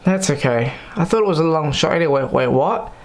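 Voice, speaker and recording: A man voicing an eager, nervous young man newly in love in an audiobook, hesitant and excitable. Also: on the high side, a little fast. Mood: nervous, surprised.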